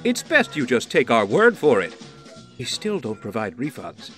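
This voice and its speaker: A man's voice: mid-range voice, wildly swooping pitch, normal speed, fairly bright tone, faint music behind. A man playing a cheeky, showman-like narrator, delivering dramatic campy narration in the style of an old-time superhero serial. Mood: grand, playful.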